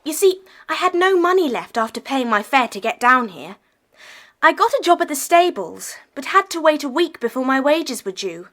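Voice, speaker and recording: A woman's voice: high-pitched, big pitch swings, normal speed, fairly bright tone. A woman playing an earnest, affectionate runaway schoolgirl in an audiobook dramatization. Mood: confessional, wistful.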